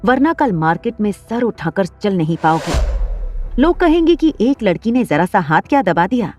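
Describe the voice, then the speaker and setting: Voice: mid-range voice, sing-song, moderate pace, a little mellow, faint music behind, lightly acted. A narrator voicing a bold young woman who coolly mocks a man. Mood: mocking.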